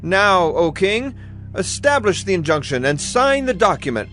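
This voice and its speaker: A man's voice: sits high, big pitch swings, moderate pace, fairly bright tone, faint music behind. A man playing a formal, scheming court official petitioning a king in a scripture drama, ceremonious and insistent. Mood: ceremonious, urging.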